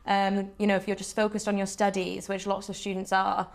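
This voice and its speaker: Young woman, student officer, pretty monotone, lots of "sort of". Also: mid-range voice, fairly quick, vocal fry. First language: Welsh. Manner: even, steady, with many "sort of" fillers. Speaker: students' union officer, guest. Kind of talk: casual interview answers.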